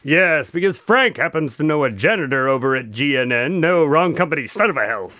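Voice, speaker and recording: A man's voice: on the high side, expressive, brisk, bright, forceful tone, dry recording, clean studio sound. A man, a voice actor, playfully rambling through a mic check and outtake chatter.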